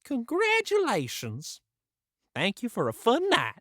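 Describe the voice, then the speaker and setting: A woman's voice: fairly high pitch, wildly swooping pitch, measured pace, dry recording, studio quality, exaggerated voice. A woman voicing a cocky, crazy old male poker champion of the Old West, with a folksy, theatrical drawl. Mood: gracious, resigned. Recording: loud music under the voice.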